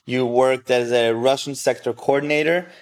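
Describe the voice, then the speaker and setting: A man's voice: medium pitch, steady tone, normal speed. A man hosting a casual interview, eager and encouraging, asking follow-up questions. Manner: enthusiastic, asks follow-up questions. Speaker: interview host.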